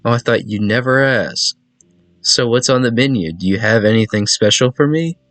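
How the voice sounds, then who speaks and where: A man's voice: medium pitch, moderate pace, a bit bright, no echo, natural voice. A coy female entity from a dating game, voiced by a man teases with flirty, faintly menacing lines. The delivery is recorded dry, with music underneath. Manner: coy, teasing. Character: flirty, ominous female entity with a sweet tooth.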